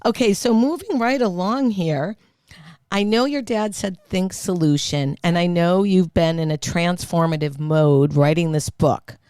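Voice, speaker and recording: A woman hosting a casual podcast interview, playful and quick to jump in with reactions and questions. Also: on the low side, darkish tone, no room sound.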